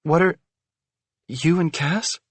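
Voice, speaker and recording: A man's voice: fairly high pitch, slow pace, no echo, pitched above the performer's own voice. A man voicing a betrayed wife in a screaming, tearful rage in an audiobook. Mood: furious. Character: furious betrayed wife, shrieking, adult woman. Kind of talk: audiobook character voice.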